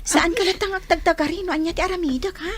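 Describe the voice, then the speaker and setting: Woman acting a very high-pitched, scheming teenage girl. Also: steady tone, quick pace, crowd noise. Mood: sassy, teasing. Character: scheming, catty teenage daughter, jealous schoolgirl. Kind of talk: audio drama acting.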